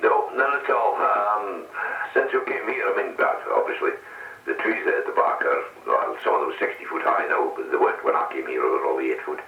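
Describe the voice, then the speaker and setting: An older man chatting casually and rambling in an unhurried way over CB radio. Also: rumbling low, vocal fry, reverberant, narrow, tinny audio. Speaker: amateur CB radio operator. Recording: CB radio transmission, boxy and distant.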